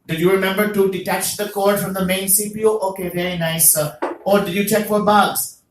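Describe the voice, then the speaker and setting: A young man doing a stand-up comedy set as the host of an open mic, animated and jokey, with loud bursts on the punchlines. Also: high-pitched, a little fast, roomy sound, low-quality recording. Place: small comedy venue.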